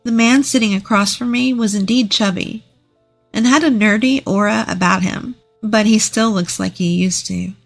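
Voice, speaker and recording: A woman's voice: medium pitch, fairly even intonation, normal speed, gravelly, faint music behind. A woman narrating a scripted first-person story.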